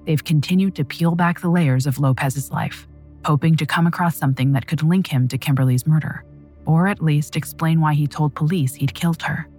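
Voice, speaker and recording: A woman narrating a true crime story in a measured, dramatic scripted style. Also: deep voice, a little mellow, soft background music.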